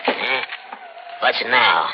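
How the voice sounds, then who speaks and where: A man's voice: mid-range voice, lively intonation, takes it slowly, husky. An actor playing a suspicious, grumbling old American country man in a vintage radio drama, speaking curtly. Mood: irritated. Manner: grumbling, curt. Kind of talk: 1940s radio drama acting. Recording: dull old radio recording, faint noise.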